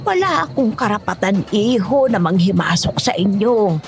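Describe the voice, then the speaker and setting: A woman's voice: medium pitch, very animated, normal speed, creaky, faint music behind. A woman with a Filipino accent playing a chatty, foreboding older village auntie in an audio horror drama. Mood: grave.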